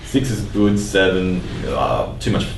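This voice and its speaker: A man's voice: low-pitched, animated, measured pace, vocal fry. A man talking casually in a group chat on a podcast. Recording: echoey, distant, faint background noise.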